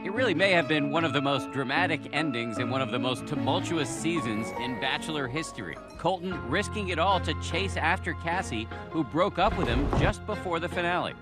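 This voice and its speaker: A man's voice: fairly high pitch, expressive, a little fast, few pauses. An American man reading scripted TV news narration in a dramatic headline style. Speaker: TV news reporter. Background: clear music bed.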